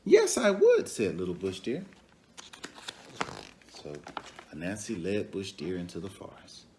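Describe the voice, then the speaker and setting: A man reading a children's story aloud in an expressive way, with asides to his listeners. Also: sits low, a little hiss or hum. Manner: expressive, pauses to question and comment to the young audience. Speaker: storybook reader.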